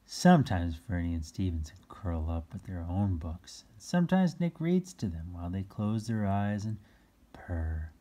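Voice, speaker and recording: A children's read-aloud host with a husky voice, reading a picture book and adding playful exclamations and explanations for young listeners. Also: deep voice, sing-song, moderate pace, warm tone. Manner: exclaims, gasps, chuckles and explains words to children.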